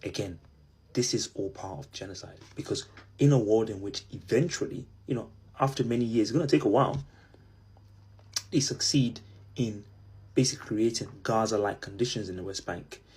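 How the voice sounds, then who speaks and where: A man's voice: fairly low pitch, normal speed, a little mellow, slightly rough, low-quality recording. A man from Israel giving an impassioned political commentary, with bursts of emphasis.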